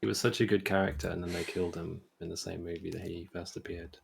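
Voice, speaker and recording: Guy co-hosting a stream, heavy vocal fry, bit husky, low-key casual chat. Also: flat delivery, fast talker.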